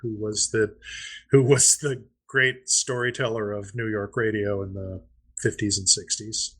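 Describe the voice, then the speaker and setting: A man's voice: on the low side, flat delivery, unhurried, fairly bright tone, husky. A man co-hosting a podcast in casual chat, explaining things and thinking aloud. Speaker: podcast co-host.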